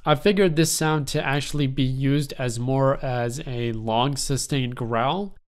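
A young man with a stuffy, congested voice explaining step by step how he builds a synth sound, in a relaxed, rambling way with lots of fillers. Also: mid-range voice, measured pace, a little hiss or hum. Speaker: music production tutorial host. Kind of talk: casual screen-recorded software tutorial.

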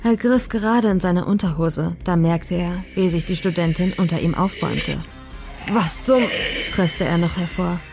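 A woman reading a horror story as a scripted, dramatic narration, measured and suspenseful. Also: fairly low pitch, gravelly. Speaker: audiobook narrator. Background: faint atmospheric music.

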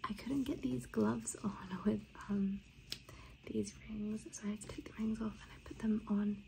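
A woman speaking in a whispery, breathy soft voice in an ASMR exam roleplay, gentle and reassuring, often repeating short phrases. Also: a little high, slight background noise, low-quality recording. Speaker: ASMR roleplay creator playing an examiner.

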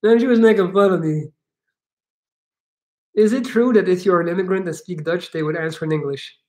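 A man's voice: high voice, brisk, darkish tone. A man in his thirties from Belgium, chatting casually on a home livestream and reading out viewer comments, recorded on a laptop mic in a living room. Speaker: livestream host, coach. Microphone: laptop webcam mic.

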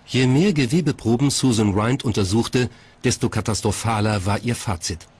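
A man's voice: mid-range voice, moderate pace, darkish tone, breathy edge. A man reading scripted documentary narration as the narrator.

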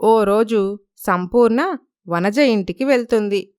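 A woman's voice: medium pitch, takes it slowly, darkish tone, smooth, dry recording, studio quality. A woman narrating a scripted moral story.

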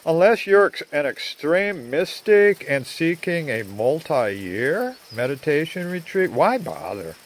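An older man narrating a rambling video outdoors among trees, talking in a halting way with many fillers, recorded on a handheld phone. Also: a little high, sing-song, slight background noise. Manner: slow, halting, full of uh fillers. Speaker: vlogger. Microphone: phone at arm's length.

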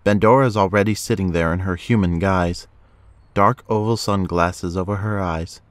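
Male narrator for scripted audio drama: deep register, dry studio-like sound. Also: fairly even intonation, normal speed, dry recording.

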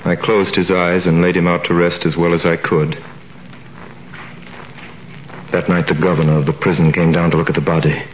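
A man's voice: deep voice, flat delivery, fast, lightly acted, poor audio. An actor playing a young prisoner who narrates his story in a 1930s radio drama, with earnest, dramatic delivery. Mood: grave narration. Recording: old radio recording with faint hiss.

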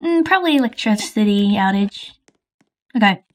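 A woman's voice: medium pitch, animated, moderate pace, natural voice. A woman voicing a shady, pushy stranger in an improvised game roleplay, evasive and dismissive of questions.

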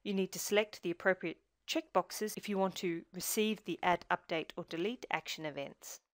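A woman presenting a software tutorial in scripted screencast narration, giving measured step-by-step instruction. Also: lowish voice, no room sound, studio quality.

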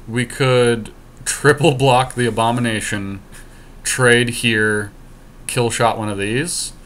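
A man's voice: on the low side, a bit bright. A man giving casual, joking gameplay commentary with some swearing, as a podcast co-host. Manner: joking, profane trash talk. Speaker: podcast co-host playing online card game. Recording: clear background noise.

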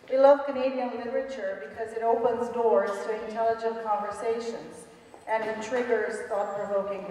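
A woman's voice: medium pitch, darkish tone. Echoey woman giving a formal introduction. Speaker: event host introducing a speaker. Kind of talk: formal prepared introduction speech. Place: stage of a large school auditorium. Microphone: podium microphone, far camera. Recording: very echoey hall, distant, faint noise.

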